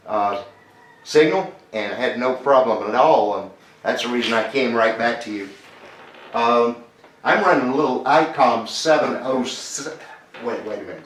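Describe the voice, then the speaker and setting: A man's voice: mid-range voice, fairly bright tone. A man chatting as an amateur radio operator, repeating details and spelling call signs phonetically. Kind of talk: conversational ham radio contact. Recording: roomy, echoing ham radio audio.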